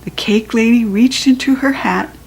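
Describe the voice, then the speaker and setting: A woman with a General American accent reading a children's storybook aloud, with expressive, childlike first-person narration. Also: a little high, normal speed, vocal fry, husky. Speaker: children's book reader.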